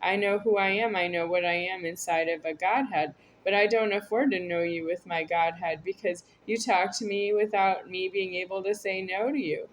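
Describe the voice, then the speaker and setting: A woman's voice: medium pitch, steady tone, moderate pace, hardly any pauses, a little hiss or hum, poor audio. A woman talking to camera in a meandering, repetitive, earnest monologue. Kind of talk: rambling spoken monologue to camera.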